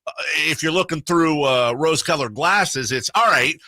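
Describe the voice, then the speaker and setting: A male sports radio host with a very bright, forceful voice in a dry studio-like sound. He interviews casually, working his way through long, winding questions. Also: a little high, moderate pace, no echo. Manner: rambling, builds long hypothetical questions.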